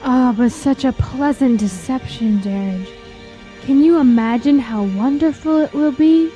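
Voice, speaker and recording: A very high, gentle-toned young female voice , acted by a woman in a fantasy audio drama. She is a naive, yearning traveler with wistful, formal speech and wide-eyed wonder. Music plays faintly behind her. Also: unhurried, rough recording. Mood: dreamy, yearning.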